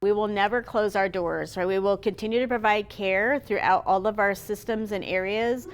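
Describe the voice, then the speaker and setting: Female hospital spokesperson, medium-pitched, explanatory interview delivery. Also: fairly even intonation, normal speed.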